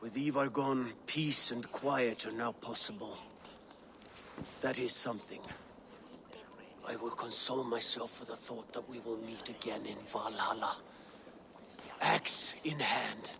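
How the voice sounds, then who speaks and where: A proud Viking warlord in mourning. He is gravelly and solemn at a middling pitch, in dramatic game style with clear noise behind him. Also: fairly even intonation. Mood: solemn resolve. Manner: solemn, weighty, measured. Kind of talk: dramatic video game acting.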